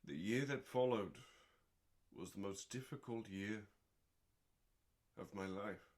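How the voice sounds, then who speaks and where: A man reading a book aloud as a show host, in a deliberate, dramatic bedtime-story style with pauses and drawn-out words. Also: lowish voice. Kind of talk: slow dramatic book reading, meant as calming bedtime listening.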